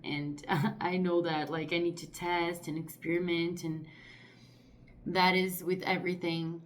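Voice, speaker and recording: A woman in her thirties from Brazil, Portuguese her first language, talking casually to camera in English with a Brazilian accent, often pausing to find her words. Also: fairly low pitch. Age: 30s. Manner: thoughtful, searching for words with many "like"s. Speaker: artist vlogger.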